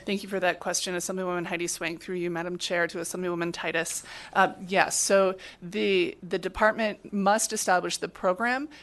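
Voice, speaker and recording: A woman legislator answers a question about her bill. Her voice is on the low side. She explains things and corrects herself as she goes. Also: steady tone, moderate pace, few pauses, a bit bright. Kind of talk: answering in committee. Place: legislative committee hearing room.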